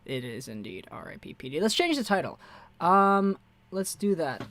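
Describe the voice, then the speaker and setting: A man's voice: high-pitched, very animated, normal speed, fairly bright tone. Chatty young man hosting a stream. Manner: chatty, reads out viewer messages and answers them. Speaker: hockey livestream host. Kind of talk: casual live-stream chat.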